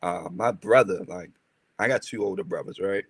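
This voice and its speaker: A man's voice: low voice, normal speed, breathy edge, no echo. A young man from Maryland, a rapper, telling stories in a casual interview with lots of fillers and tangents. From Maryland, USA. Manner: rambling, animated storytelling with many fillers.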